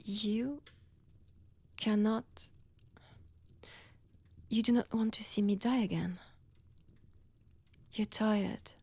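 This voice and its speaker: An ancient female vampire with a French accent, acted by a woman, menacing yet tender in an intimate audio drama. Her voice is very breathy and often sinks to a whisper. She speaks slowly and deliberately, with theatrical pauses, very close and dry. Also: mid-range voice, darkish tone, no echo.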